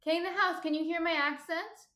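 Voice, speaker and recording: A woman's voice: very high-pitched, steady tone, brisk, sharp tone, echoey room. A woman with a slight New Jersey accent hosts a baking livestream, reading viewer comments aloud and chatting as she cooks. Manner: chatty, thinks aloud while cooking.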